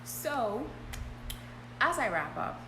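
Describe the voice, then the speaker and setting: A woman's voice: a little high, very animated, extremely slow. A woman hosting a live business coaching stream, talking casually and often checking in with "okay?". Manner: chatty, frequent "um" and "okay?" check-ins. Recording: echoey room with clear background hum.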